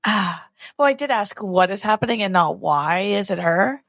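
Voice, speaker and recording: A woman's voice: mid-range voice, lively intonation, normal speed, gravelly, a bit bright, no echo, studio quality. A woman taking part in a tabletop roleplaying game as a player. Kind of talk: in-game narration and casual chat.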